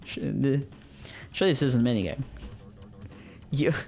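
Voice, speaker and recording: A man's voice: medium pitch, lively intonation, normal speed, darkish tone, husky, soft background music. A man reading visual novels aloud and chatting casually as a streamer, often repeating himself in informal slang. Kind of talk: casual gaming chat and read-aloud narration.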